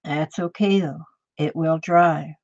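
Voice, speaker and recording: A rambling, chatty woman in her late sixties with a somewhat low voice talks to her viewers as she works on a craft. The sound is dry and close, a little dull and lo-fi. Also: fairly even intonation, slow, darkish tone, no echo. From Minnesota. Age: 60s. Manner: chatty and rambling, with many fillers and restarts. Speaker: crafting video host. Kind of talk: casual chat with live viewers while crafting.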